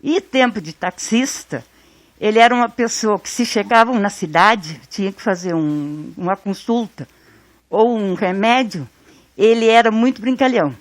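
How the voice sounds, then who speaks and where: Uma mulher do sul do Brasil relembrando o irmão numa entrevista, falando com calma e carinho. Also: female voice, medium pitch, normal speed, no echo. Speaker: interviewee, sister. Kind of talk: interview recollection.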